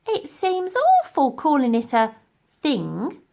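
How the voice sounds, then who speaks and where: Woman doing a high, daft, chirpy lady. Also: huge pitch swings, slow, creaky, dark tone, heavily acted. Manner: dim, cheerful, guileless.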